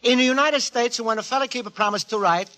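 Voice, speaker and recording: An actor playing a naive, cheerful Italian immigrant antique dealer in broken English with an Italian accent, earnest and expressive, in 1940s radio comedy style. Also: male voice, very high voice, fast. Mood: earnest, proud. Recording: 1940s radio recording, live studio audience.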